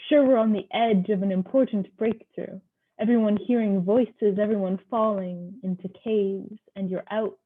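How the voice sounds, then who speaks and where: Woman reciting poetry over thin, phone-like audio. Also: medium pitch. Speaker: poetry reader at an online open mic.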